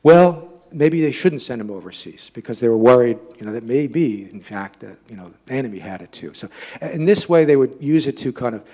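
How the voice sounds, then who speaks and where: A man with a slightly husky voice giving an informal public lecture, halting as he repeats and rephrases himself. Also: medium pitch, lively intonation, warm tone. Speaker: lecturer giving a talk and taking audience questions.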